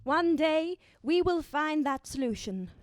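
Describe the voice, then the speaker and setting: A woman's voice: sits high, lively intonation, a little slow, dry recording, clean studio sound. A woman playing a commanding, determined crew leader in a science-fiction radio play. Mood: urgent resolve.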